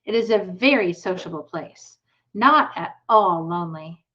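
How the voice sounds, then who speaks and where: A woman's voice: lowish voice, animated, unhurried, pushed and bright, dull audio. A woman reading the part of an earnest young farm girl in a storybook. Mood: eager.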